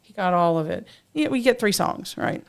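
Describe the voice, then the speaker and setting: An older woman hosting a casual talk show, chatty and quick to laugh. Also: mid-range voice, lively intonation, clean tone. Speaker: host.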